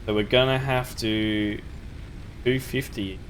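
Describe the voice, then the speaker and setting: Young male gaming host with a sore throat, low-pitched, relaxed think-aloud commentary, faint background hum. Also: slow pace, rough recording. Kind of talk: gameplay commentary.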